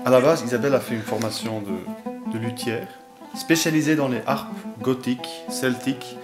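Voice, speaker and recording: A man's voice: medium pitch, a little fast. A man who plays the oud, explaining things hesitantly in a documentary and often repeating small words. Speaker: oud player. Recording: roomy, with music behind.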